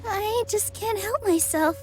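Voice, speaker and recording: A woman voicing a gentle, cheerful young dancer in a game who speaks politely and modestly. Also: very high voice, measured pace.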